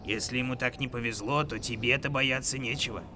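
A man voicing a calm grey wolf in a folk tale, speaking quietly and reassuringly. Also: medium pitch, brisk, noisy background, natural voice. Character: male grey wolf, calm helpful ally.

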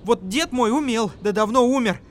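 A man's voice: extremely high pitch, normal speed, slight background noise, pitched above the performer's own voice. A man voicing a young hunter who hides his fear behind bold, mocking talk in a raised voice. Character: young male hunter, frightened but cunning. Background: music.

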